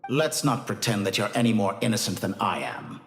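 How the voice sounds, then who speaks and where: An actor playing an arrogant, calm mad scientist villain in a video game, speaking in a pompous, deliberate, theatrical way. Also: male voice, on the low side, roomy sound, soft background music. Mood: silky, amused.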